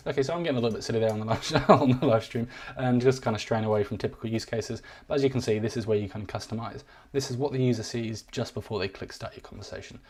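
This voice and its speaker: A man giving a live-streamed software walkthrough, rambling and self-deprecating, his voice wearing thin. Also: medium pitch, quick pace, few pauses. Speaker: software demo presenter.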